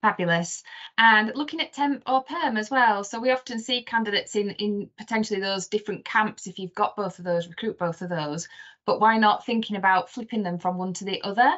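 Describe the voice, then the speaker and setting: A woman's voice: mid-range voice, fairly quick, fairly bright tone. A woman co-presenting a webinar, giving tips in an informal way. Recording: dull video call audio.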